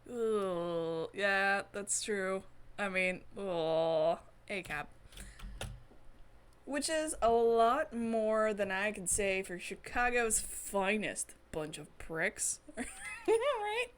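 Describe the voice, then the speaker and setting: A woman streamer giving casual, expressive commentary as she plays and reads a visual novel, reacting with exclamations. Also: mid-range voice, very slow, slight background noise. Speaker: streamer doing a playthrough. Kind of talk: casual game commentary, reading visual novel text aloud. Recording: music in the background. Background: game music.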